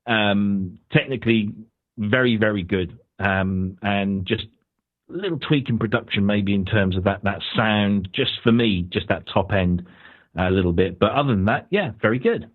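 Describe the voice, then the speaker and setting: A low-voiced man from the UK talks through his review of a song over a tinny, phone-like line. He rambles and hedges, with plenty of fillers and restarts. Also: normal speed. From United Kingdom. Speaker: guest panelist. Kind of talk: casual music review on a podcast.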